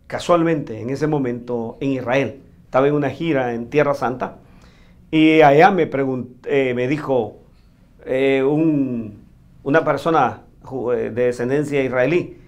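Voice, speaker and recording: A Salvadoran man, a priest, recounting past events in an interview with pauses and restarts. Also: medium pitch, a little mellow. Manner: thoughtful, unhurried storytelling with pauses and restarts.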